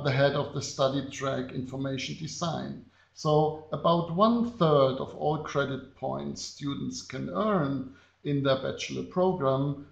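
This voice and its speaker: A middle-aged man from Bavaria with a German accent, giving a slide lecture on a video call at an unhurried pace with many filler pauses. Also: mid-range voice, gravelly. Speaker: design professor. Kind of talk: webinar lecture presenting slides. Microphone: computer mic on a video call. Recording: echoey, dull webinar audio.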